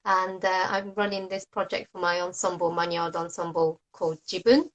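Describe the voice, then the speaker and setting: A woman's voice: on the low side, fairly even intonation. Japanese woman hosting a friendly video chat. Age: 30s. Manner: friendly, laughs often, quick to praise. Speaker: host, oboist. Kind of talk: casual online interview. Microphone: video call.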